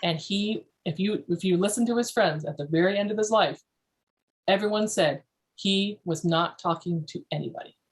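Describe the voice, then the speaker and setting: A woman's voice: mid-range voice, normal speed, rough recording. A woman hosting a parenting podcast as a family therapist, leading a group discussion and repeating phrases for emphasis.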